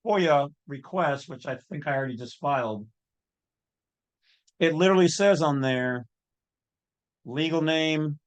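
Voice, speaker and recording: Man giving folksy, opinionated commentary. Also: mid-range voice. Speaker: host of an online legal commentary channel. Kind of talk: informal off-the-cuff commentary.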